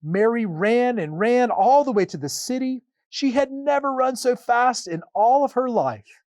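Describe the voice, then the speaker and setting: A man reading a children's Bible story aloud as a priest, with dramatic, deliberate phrasing and pauses. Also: very high-pitched, lively intonation, darkish tone, dry recording, clean studio sound.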